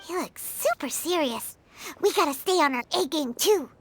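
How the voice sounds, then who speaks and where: A woman voicing a small, excitable fairy companion in a video game, with a squeaky childlike voice, exclamatory and easily flustered. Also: moderate pace. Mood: wary. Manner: refers to self in third person, exclamatory. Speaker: travel guide sidekick. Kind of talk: video game character acting. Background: game music.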